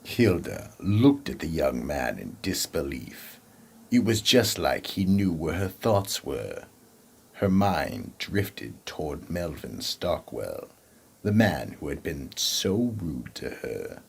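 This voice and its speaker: A man narrating a scripted horror radio drama in a dramatic, ominous manner, with a very raspy, gravelly voice. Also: rumbling low, sing-song, slow.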